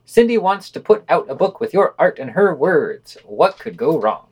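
A man's voice: a little high, sing-song, normal speed, darkish tone, breathy edge. A man hosting a podcast, reading scripted news items and excerpts with brief wry commentary.

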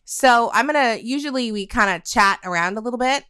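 A woman hosting a podcast in casual chat, halting and full of fillers. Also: fairly high pitch, pushed and bright, clear, resonant tone, no room sound, studio quality. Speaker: podcast host.

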